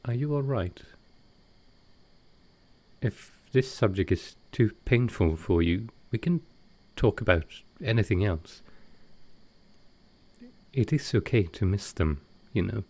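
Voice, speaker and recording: A man playing a gentle, wistful ancient vampire in an intimate audio roleplay, soft-spoken and hesitant with halting pauses. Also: on the low side, exaggerated voice. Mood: tender concern.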